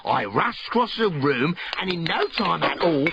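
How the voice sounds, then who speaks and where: A man narrating a rhyming storybook in a dramatic, expressive, theatrical way. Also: a little high, moderate pace, creaky, slight background noise. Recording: dull lo-fi recording with loud music.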